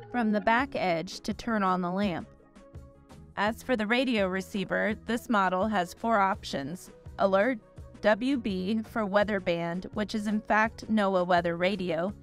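Woman narrating step-by-step instructions. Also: medium pitch. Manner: clear, steady, step-by-step explaining.

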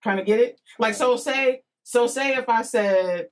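A woman's voice: fairly high pitch, a little slow. A young woman hosting a casual podcast interview in slangy, informal speech on a studio microphone. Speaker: podcast host. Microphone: boom-arm studio microphone with headset.

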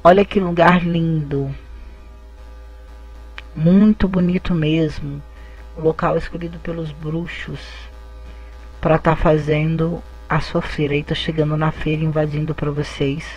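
A man's voice: on the high side, little pitch movement, unhurried, darkish tone, husky, lo-fi sound. A man, hosting his channel and narrating an event video as a voice-over. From Brazil. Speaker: channel host. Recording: faint music behind the voice.

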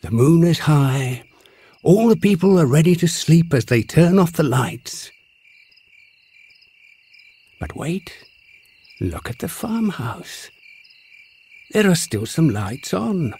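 A man narrating a bedtime story in a soft, soothing way, speaking directly to a child listener. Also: on the high side, big pitch swings, slow, pauses often, slight background noise.

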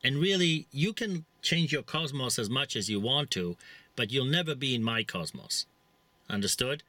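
Czech accent guy ranting in his car, rambly, lots of uh and um, bright hard voice, dead dry sound. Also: medium pitch, lively intonation, moderate pace, no echo. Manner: blunt, rambling, preachy with many fillers. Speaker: vlogger. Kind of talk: casual monologue to camera. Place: inside a parked vehicle. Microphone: camera mounted close in front.

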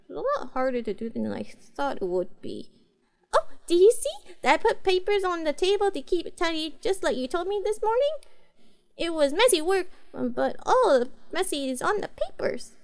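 Cute neko girl: high, swooping voice, childlike and earnest, for roleplay audio. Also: very animated, vocal fry, full character voice. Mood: earnest, eager. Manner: hesitant, childlike, halting phrasing. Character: cute, earnest, naive young cat-girl pet. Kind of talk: roleplay audio drama, speaking to the listener.

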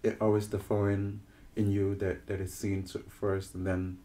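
A man's voice: fairly low pitch, completely flat delivery, moderate pace, mellow, slight background noise. A young man hosting a casual interview, often repeating words and restarting his questions. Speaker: interviewer host. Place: living room studio with armchairs.

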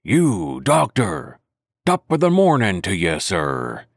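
A man's voice: deep voice, huge pitch swings, takes it slowly, creaky, dry recording, studio quality, heavily acted. A man voicing a hearty, wheedling old one-legged pirate cook in a storybook reading. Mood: jovial. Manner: wheedling, jovial sea talk.

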